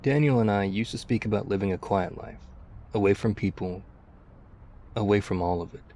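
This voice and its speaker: A man playing a battle-hardened, weary zombie apocalypse survivor in an intimate ASMR roleplay, guarded but quietly caring, speaking in a hushed, measured way. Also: lowish voice, a little hiss or hum, close to an everyday voice. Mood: weary, confiding. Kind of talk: ASMR audio roleplay, intimate one-sided dialogue.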